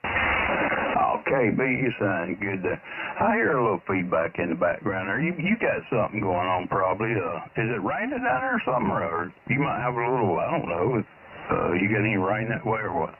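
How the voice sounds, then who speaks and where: A man's voice: fairly low pitch, very animated, normal speed. Tinny, static-filled radio voice of man. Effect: radio transmission. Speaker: amateur radio operator calling in. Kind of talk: casual radio chat. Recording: narrow, static-filled ham radio signal.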